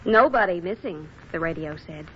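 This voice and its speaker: A woman's voice: fairly low pitch, expressive, normal speed, husky. An American actress playing a guarded, principled young woman in a 1940s radio drama, hesitant and pointed, on a muffled, noisy old recording. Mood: earnest, reproachful.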